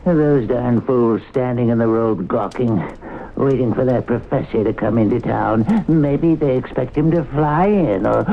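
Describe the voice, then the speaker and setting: An actor playing a cocksure small-town skeptic, folksy and mocking, in old-time radio drama style. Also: male voice, medium pitch, a little slow, gravelly. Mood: amused, mocking. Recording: noisy, phone-like old radio broadcast.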